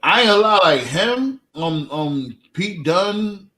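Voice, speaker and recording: Animated man in loose, joking casual chat. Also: high-pitched, slow. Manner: profane, joking, slangy. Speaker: podcast panelist. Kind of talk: casual chat about wrestling.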